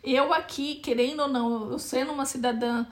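A woman's voice: high-pitched, fairly even intonation, fairly quick. Brazilian woman in her forties, thoughtful. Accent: Brazilian Portuguese. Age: 40s. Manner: thoughtful, hesitant, often restarts mid-phrase. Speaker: interviewee, Brazilian immigrant in Portugal. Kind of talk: casual family interview.

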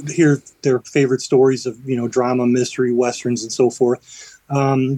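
A man's voice: mid-range voice, a little mellow, dry recording, low-quality recording. Chatty man talking up a stage show. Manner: chatty, explains with fillers and restarts. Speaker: theater company vice president, guest. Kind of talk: radio interview.

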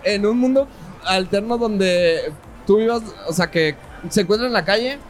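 A man's voice: high voice, normal speed, faint music behind. A young man with a Mexican accent co-hosting a casual podcast, teasing and joking with his friend in slangy banter. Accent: Mexican Spanish. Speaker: podcast co-host. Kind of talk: casual chat.

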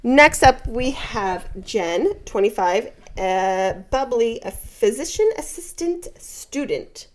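Woman podcast co-host, wry and chatty, lively pitch. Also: slow, hardly any pauses, poor audio. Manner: chatty, wry, laughs often. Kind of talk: casual chat reading cast bios.

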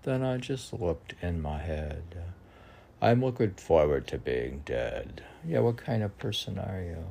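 A man in a rambling solo monologue, musing and questioning himself haltingly as he shares aphoristic lines of his own poetry. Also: low voice, very animated, gravelly, warm tone. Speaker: poet reading and commenting on own writing.

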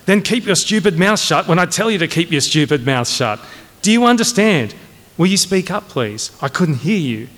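A loud, irascible colonel, acted out by a male reader, barks his way through a comic military story. His pitch is pushed up high and he bullies with every phrase. The sound rings with long hall reverb. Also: expressive, moderate pace, few pauses. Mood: barking. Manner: barking, domineering. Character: bloated, bullying army colonel, loud and irascible. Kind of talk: comic dramatised audiobook reading.

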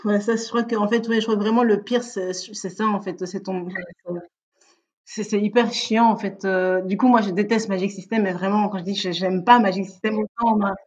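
A woman, telling personal stories casually and candidly as an interview guest, often halting to rephrase. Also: medium pitch, steady tone, darkish tone. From Belgium. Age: 30s. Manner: candid, informal, halting with self-corrections.

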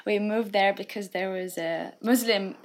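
A young woman, a podcast guest, talking thoughtfully in casual conversation with frequent fillers and soft laughs. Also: medium pitch, measured pace. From England.

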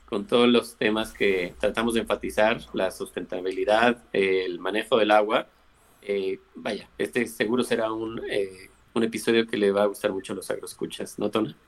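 Low-voiced male podcast co-host. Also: steady tone, normal speed. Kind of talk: podcast interview.

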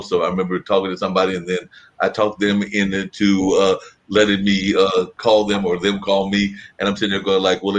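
Low-voiced man hosting an interview. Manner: rambling, long winding questions. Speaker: interview host. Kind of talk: conversational interview.

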